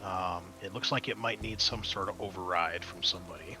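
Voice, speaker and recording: A man's voice: deep voice, brisk, music in the background, low-quality recording. A man acting as game master, narrating a tabletop roleplaying game in a casual, explanatory, conversational way. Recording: clear background noise.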